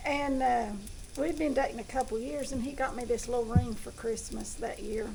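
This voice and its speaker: Southern woman with a creaky, higher voice. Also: steady tone, moderate pace, background noise. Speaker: host of a home cooking channel. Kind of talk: casual chat. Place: home kitchen with food sizzling.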